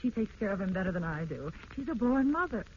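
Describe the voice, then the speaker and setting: A woman playing a gentle, soft-hearted wife in an old-time radio drama, a little hesitant in manner. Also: medium pitch, very animated, quick pace, lightly acted. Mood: wistful. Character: gentle, wistful wife and hesitant would-be mother. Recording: dull, noisy old radio recording.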